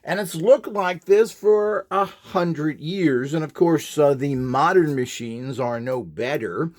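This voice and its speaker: A man's voice: fairly high pitch, takes it slowly. A man giving an informal, rambling instructional demonstration in an emphatic, opinionated and dismissive manner. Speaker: instructor, video series host.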